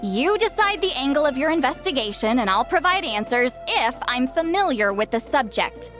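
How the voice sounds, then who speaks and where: A haughty, long-lived shaman woman for a fantasy game. Her voice is high and swoops expressively, with clear music behind it. She sounds curt, boastful and easily exasperated. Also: big pitch swings, moderate pace, a bit bright. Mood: irritated, curt. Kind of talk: video game character voice acting.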